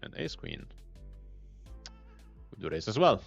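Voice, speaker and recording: A man's voice: deep voice, wildly swooping pitch, unhurried, creaky, breathy edge, muffled recording, soft background music. A man with an Italian accent, talking through his poker hands on a live stream, thinking aloud and muttering hand names. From Italy.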